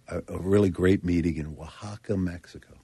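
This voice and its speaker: A man with a very deep, husky voice who speaks softly and haltingly as a guest in a casual chat. He comes across as dry and understated, and the recording is close and dry. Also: steady tone, no room sound. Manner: halting, soft-spoken, dry humor.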